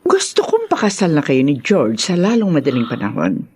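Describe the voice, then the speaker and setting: An actress playing a haughty, scornful middle-aged rich matriarch in a Tagalog radio drama, with an imperious manner. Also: female voice, low-pitched, huge pitch swings, normal speed, a little mellow, slightly rough, full character voice. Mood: bossy, eager. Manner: imperious, contemptuous.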